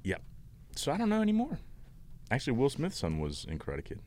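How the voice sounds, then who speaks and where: A man's voice: mid-range voice, huge pitch swings, brisk, a little mellow, no echo. A man co-hosting a casual podcast, teasing his co-host with playful questions. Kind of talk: casual banter.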